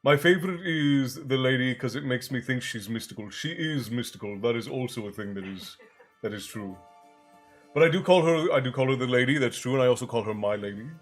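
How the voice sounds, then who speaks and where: A man's voice: mid-range voice, lively intonation, fairly quick. A man hosting a livestream in casual, joking chat, greeting viewers by name, still a bit congested. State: congested, with a bit of a chesty cough. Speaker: livestream host. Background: soft background music.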